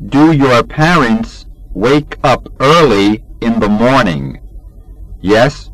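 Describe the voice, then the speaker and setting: A man reading an English textbook lesson aloud in a measured, deliberate teaching manner, enunciating each drill sentence. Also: mid-range voice, dry recording, rough recording.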